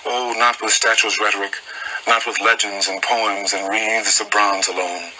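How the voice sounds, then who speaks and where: A man's voice: lowish voice, level tone, normal speed, bright, forceful tone, slight background noise, poor audio. A man reciting poetry solemnly, with emphatic short phrases. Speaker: poetry reader.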